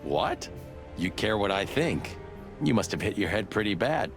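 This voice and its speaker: A man's voice: fairly low pitch, big pitch swings, normal speed, music in the background. A man playing a loyal, hot-headed rooster cop in a noir game, wisecracking and blustery. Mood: wry, thoughtful. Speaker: detective's partner. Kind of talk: film noir game voice acting.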